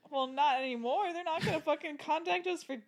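A woman's voice: sits high, fairly quick. A woman in her twenties with an American accent, co-hosting a casual comedy podcast with sarcastic teasing. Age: 20s. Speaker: podcast co-host.

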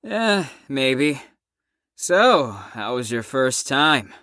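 A woman's voice: a bass voice, very animated, drawn-out words, fairly bright tone, no room sound, studio quality, exaggerated voice. A woman playing a cocky, crude, defiant wisecracking patient in an audio drama, brash and mocking. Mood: cocky, teasing.

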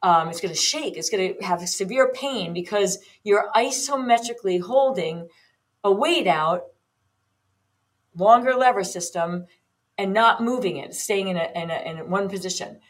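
A woman's voice: mid-range voice, normal speed. A woman in her fifties, a personal trainer hosting her own podcast, explaining in a chatty way with frequent ums and restarts. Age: 50s. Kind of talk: casual solo podcast talk.

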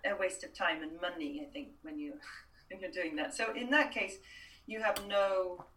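A woman's voice: on the low side, normal speed, pushed and bright. A woman working as a voice coach, a Welsh speaker, answering interview questions in a chatty way with many restarts and fillers. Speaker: voice and accent coach. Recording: distant, roomy sound.

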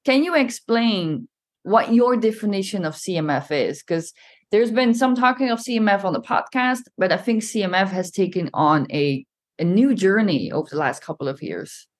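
Measured woman podcast host. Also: medium pitch. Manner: measured, scripted intro then relaxed questions. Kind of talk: podcast interview hosting. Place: Milan studio or home.

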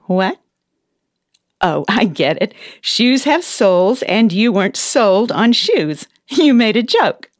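An actress playing a cheery, brisk, officious female elf who runs personnel at the North Pole, in an audio play. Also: mid-range voice, dry recording, clean studio sound. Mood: chatty, amused. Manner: chatty, expressive, officious.

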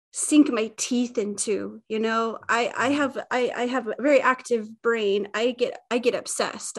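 Upbeat talkative woman, higher voice. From Utah, USA. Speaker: podcast guest, YouTuber. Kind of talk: casual interview chat.

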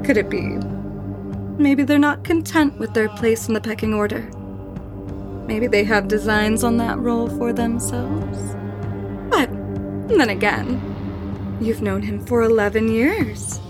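A woman's voice: a little high, takes it slowly, pauses often. An actress playing a commanding, taunting spirit woman who haunts a manor in a horror audio drama, with an insinuating manner. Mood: insinuating, probing. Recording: voice over prominent background music.

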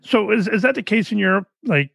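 A man's voice: sits high, animated, fairly quick, vocal fry, a bit bright, husky, dry recording. Man hosting a podcast chat. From Canada. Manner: rambling, friendly, with restarts. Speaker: podcast host. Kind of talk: casual interview chat.

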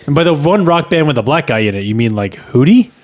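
A man's voice: on the high side, very animated, quick pace, a little mellow. A man co-hosting a podcast in casual banter. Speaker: podcast co-host.

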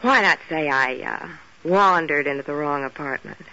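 A woman's voice: deep voice, moderate pace, bright, forceful tone. A woman playing a seductive, evasive femme fatale with a coy, hesitant manner in 1940s radio drama. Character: Blanche, toy-sized seductive, evasive brunette femme fatale. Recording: muffled old radio recording.

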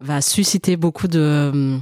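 A woman's voice: sits low, measured pace, warm tone, no room sound. A woman co-hosting a podcast, a native Kinyarwanda speaker. She is playful and upbeat and slips into easy laughter. The recording is close and dry. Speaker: podcast co-host. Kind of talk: casual conversational podcast.

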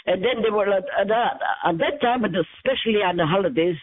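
A woman in her nineties, born in France and speaking, her Armenian, reminiscing haltingly and often searching for words. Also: medium pitch. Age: 90s. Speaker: interviewee. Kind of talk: reminiscing in casual conversation. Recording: tinny phone-like line, dry.

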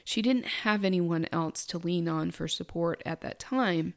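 A middle-aged woman with a soft, quiet speaking voice, reflecting on her own life in a halting, thoughtful way. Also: lowish voice. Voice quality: soft-spoken. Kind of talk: personal reflective monologue.

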